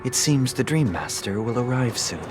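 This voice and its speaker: A man voicing a solemn, composed young gentleman in a video game, formal and measured, with music behind him. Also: mid-range voice, animated, natural voice. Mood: solemn, reflective.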